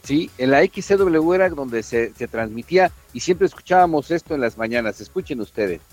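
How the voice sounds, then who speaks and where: Middle aged mexican guy on radio, average pitch, chatting about old times, faint music behind. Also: animated, moderate pace, darkish tone. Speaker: radio co-host. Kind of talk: casual reminiscing chat. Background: background music.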